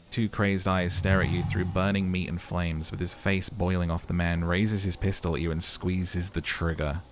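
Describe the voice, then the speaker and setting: A man doing a let's play, narrating the game dramatically and dropping into casual, sweary commentary. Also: deep voice. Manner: dramatic storytelling mixed with casual, sweary asides. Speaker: let's player. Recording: faint background game noise.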